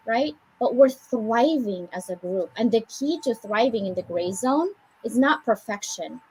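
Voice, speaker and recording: A woman from the Philippines with an American accent, co-hosting a casual chat and giving an animated motivational talk with joking asides. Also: on the high side, husky, rough recording.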